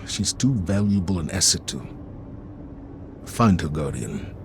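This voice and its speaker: Deep, grave military commander. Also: male voice, big pitch swings, measured pace, vocal fry, noisy background, exaggerated voice. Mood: grave, commanding. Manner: authoritative, clipped, grave. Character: grave male military commander, stern and resolute. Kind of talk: video game voice acting.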